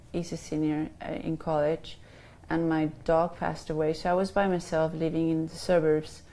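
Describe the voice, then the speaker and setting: Chilean woman in her fifties, life coach, dark mellow voice, hesitant interviewee. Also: low-pitched. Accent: Chilean Spanish. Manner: hesitant, many ums and restarts. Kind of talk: interview answers.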